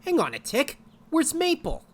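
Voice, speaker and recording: A woman's voice: high-pitched, wildly swooping pitch, normal speed, slight background noise. A woman voicing a plucky, adventurous male animal hero in an audio drama. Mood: resolute. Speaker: lead actor and story narrator. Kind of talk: audio drama acting and narration.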